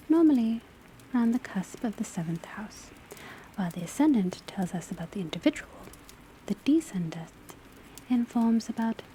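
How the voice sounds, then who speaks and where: Witch academy professor female performer, ASMR roleplay. Hushed, breathy, dark-toned whisper, precise and instructive, faint ambient noise behind. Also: medium pitch, natural voice. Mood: attentive, explanatory. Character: patient, scholarly witch school divination professor. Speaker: teacher in a classroom roleplay. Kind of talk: soft ASMR roleplay lecture. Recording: soft steady background ambience noise. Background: crackling fireplace, pencil writing, rain on rooftop.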